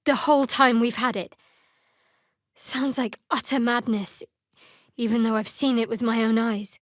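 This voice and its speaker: A woman playing a guarded, sardonic young cleric of a dark goddess in a video game, with dry, clipped delivery. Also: fairly high pitch, no echo, close to an everyday voice. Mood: wry, watchful. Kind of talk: video game character dialogue.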